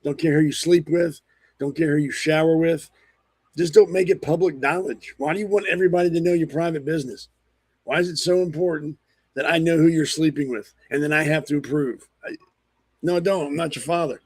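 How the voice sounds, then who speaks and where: A man hosting a political talk show, blunt and rambling with lots of ums, hoarse from a cold. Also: fairly high pitch, fairly even intonation, brisk, darkish tone, no echo. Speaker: podcast host. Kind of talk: opinionated political commentary.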